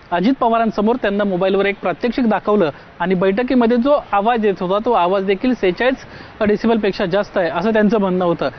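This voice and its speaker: A man giving a news report as a field reporter. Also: sits high. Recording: dull sound with faint noise.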